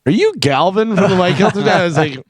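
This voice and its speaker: Radio sidekick guy, kinda higher voice, teasing and laughing, dry mic. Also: lively intonation, quick pace, no room sound. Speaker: radio show co-host. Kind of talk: casual morning radio banter. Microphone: studio microphone.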